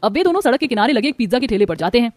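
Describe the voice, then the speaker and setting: A woman's voice: fairly high pitch, very animated, very fast, a little mellow, soft background music. A woman reading scripted narration for a cartoon story.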